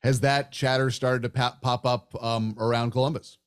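A man's voice: mid-range voice, little pitch movement, normal speed, clear, resonant tone. A man hosting a sports radio show, asking questions and presenting in a conversational way. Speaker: sports podcast host, interviewer.

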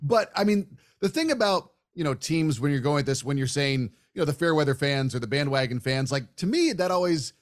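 A man's voice: a little high, lively intonation, brisk, hardly any pauses. A man hosting a sports podcast, talking in a chatty, discursive way like a casual broadcaster in conversation. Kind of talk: casual sports interview talk.

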